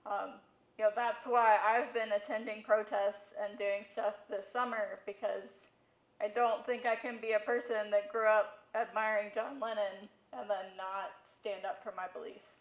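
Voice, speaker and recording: A woman's voice: mid-range voice, level tone, normal speed. Echoey, muffled woman chatting through a megaphone. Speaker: museum curator, interview guest. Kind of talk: casual interview chat. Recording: echoey room, dull megaphone sound.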